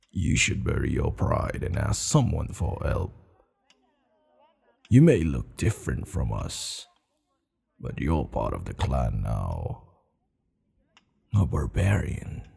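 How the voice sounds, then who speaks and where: A man playing a proud, teasing, commanding barbarian warchief in an ASMR roleplay, gravelly and measured, with formal phrasing. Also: very deep voice, darkish tone, exaggerated voice. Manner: slow, measured, stern yet amused, formal phrasing.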